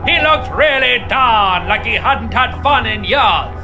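An actor voicing a talking necktie, a manic boozy best friend with tender melancholy, in a strained video game voice. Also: male voice, very high voice, lively intonation, a little slow, over music, heavily acted. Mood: wistful, fond. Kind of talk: scripted video game character acting.

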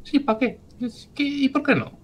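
A man's voice: extremely high pitch, measured pace, slight background noise, voice pushed up. A man voicing a feisty elderly woman adventurer who scolds others sweetly and calls them dear.

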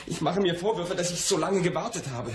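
An actor in a radio play, playing an anxious, pampered young poet who pleads in a hesitant, agitated way and repeats himself. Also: male voice, high-pitched, brisk, slight background noise, lightly acted. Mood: desperate, anxious. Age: young adult.

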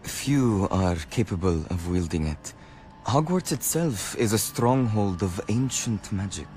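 An actor playing a grave, cautious wizard who speaks formally and deliberately in a game cutscene. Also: male voice, lowish voice, expressive, a little mellow, a little hiss or hum. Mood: solemn. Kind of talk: dramatic game cutscene dialogue.

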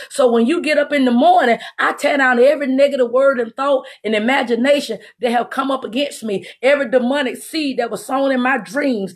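A middle-aged woman preacher giving a fervent, emphatic livestreamed deliverance sermon in a husky voice. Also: sits high. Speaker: preacher, self-styled apostle leading prayer. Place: room in a house, indoors.

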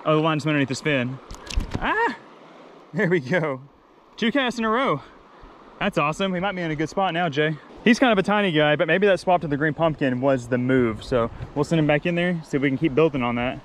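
Excitable man fishing a creek. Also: on the high side, a little fast, a little hiss or hum. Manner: excitable, explains the spot while fishing. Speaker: fishing vlogger. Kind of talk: casual outdoor fishing commentary. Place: outdoors wading a mountain creek.

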